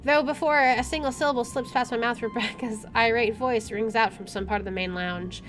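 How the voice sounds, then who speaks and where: A woman's voice: a little high, steady tone, a little fast, a bit bright. A woman doing a let's play, reading story text aloud expressively with informal asides. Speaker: gaming YouTuber. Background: game music.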